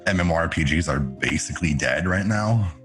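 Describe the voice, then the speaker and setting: A man's voice: a little fast, faint music behind. A man from Canada whose first language is French, chatting casually as a podcast guest and laughing often. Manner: chatty, laughs often, animated jumps in pitch. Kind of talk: casual chat.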